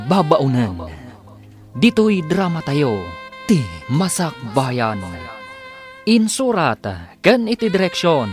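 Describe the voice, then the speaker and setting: A man with an Ilocano Filipino accent announcing and narrating a radio serial in a dramatic scripted style. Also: mid-range voice. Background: music.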